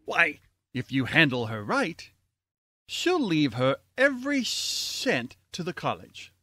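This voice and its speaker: A performer voices a pompous, greedy man who runs a college in an old-time radio comedy. The delivery is slow and hugely animated, close and dry. He is dramatic and easily exasperated. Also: mid-range voice, no room sound, full character voice. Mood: scheming. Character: pompous, greedy, exasperated male college head, grown grandson.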